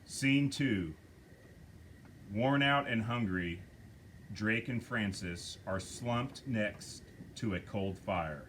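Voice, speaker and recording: A man reading stage directions aloud at a script table reading, slow and measured. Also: fairly low pitch, a bit bright, slight background noise.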